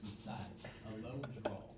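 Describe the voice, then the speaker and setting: A man's voice: fairly low pitch, unhurried. A young man reading story narration as an amateur volunteer, recorded from a distance in a very noisy, echoey room. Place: indoor workshop room.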